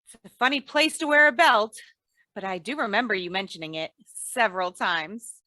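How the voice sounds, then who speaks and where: Actress as shrewd, affectionate sitcom-style radio wife; bright forceful tone, dry close sound, teasing comebacks. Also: female voice, mid-range voice, normal speed, no room sound, lightly acted. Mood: startled, dry. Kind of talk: 1940s radio comedy acting.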